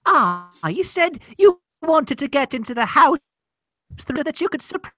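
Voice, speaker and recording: A woman playing a housekeeper in a comic old-time radio mystery, with a shrill voice. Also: very animated, unhurried, sharp tone, heavily acted. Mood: hesitant. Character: Mrs Hudson, timid housekeeper helping the detective.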